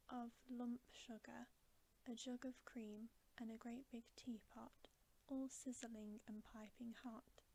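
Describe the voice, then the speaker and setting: Woman, ASMR story reader, breathy whisper, slow pace, even intonation, gentle and hushed. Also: on the high side, low-quality recording.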